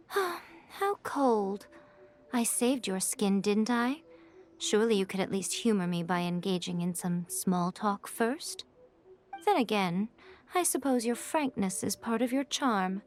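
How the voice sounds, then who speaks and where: An actress playing a sly, playful shrine priestess with a teasing, arch manner. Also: female voice, mid-range voice, animated, slow, long pauses. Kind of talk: video game voice acting.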